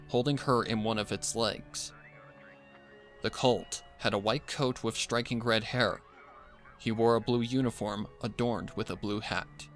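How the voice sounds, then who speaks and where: Male narrator, horror story reading, unhurried pace, lowish pitch, soft music underneath. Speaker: fan fiction narrator.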